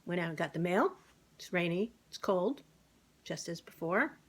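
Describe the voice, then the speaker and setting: A woman with a slightly low voice reads a children's book aloud. Her delivery is informal, that of an amateur narrator sharing a story. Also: animated, moderate pace.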